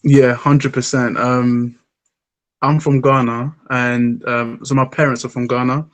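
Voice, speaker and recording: Host: man, even-toned, thoughtful, lots of ums, dry close sound. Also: medium pitch, a little slow, no room sound. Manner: thoughtful, hesitant with many ums. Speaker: podcast host. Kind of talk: casual discussion.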